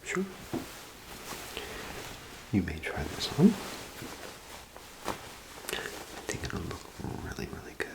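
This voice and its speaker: Slow, whispering low-voiced man. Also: huge pitch swings, vocal fry, poor audio. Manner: gentle, hesitant, unhurried salesman patter. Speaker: ASMR performer playing a clothing salesman. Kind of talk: ASMR role play, soft whispered sales patter.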